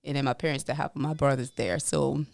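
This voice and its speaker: A woman from Congo who grew up in France, speaking with a French accent as a podcast co-host, telling her story in a lively way, laughing and acting out what people said. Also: extremely low pitch, brisk, creaky, no echo. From Congo, raised in France. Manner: lively, laughs often, acts out quotes. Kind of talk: casual interview storytelling.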